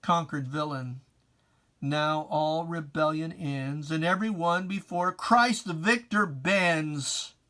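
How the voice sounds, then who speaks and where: Slow, solemn reciter of dramatic verse. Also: medium pitch, pushed and bright. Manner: solemn, declamatory, emphatic, with archaic diction. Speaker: poetry reciter. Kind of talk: dramatic reading of religious verse.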